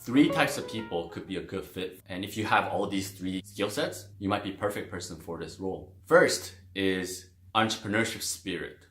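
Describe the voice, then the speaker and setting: A man talks through a career topic in a relaxed, chatty way, sprinkling in "like" and "you know." His voice sits low. The room around him is roomy and echoey. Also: normal speed, hardly any pauses, soft background music. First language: Korean. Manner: loose and friendly, many "like" and "you know" fillers. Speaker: video host explaining a career. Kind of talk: casual explanatory talk to camera. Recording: roomy echo.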